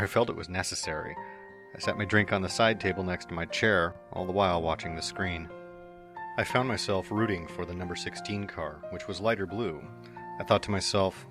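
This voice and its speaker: A man with a very low voice reads a dramatic story in the first person. His delivery is steady and earnest, with quiet music running underneath. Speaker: narrator. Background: soft background music.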